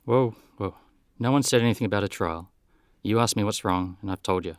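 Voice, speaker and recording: Actor as businesslike male investigator for radio play: deep, creaky voice, plain-spoken and brisk. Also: no echo, clean studio sound, natural voice. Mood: firm. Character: brisk, matter-of-fact male detective investigating robot accidents. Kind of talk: scripted audio drama.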